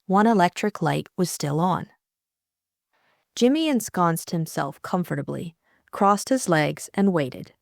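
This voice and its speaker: A woman's voice: lowish voice, lively intonation, measured pace, gravelly, no room sound, studio quality. A woman reading a novel aloud as scripted audiobook narration.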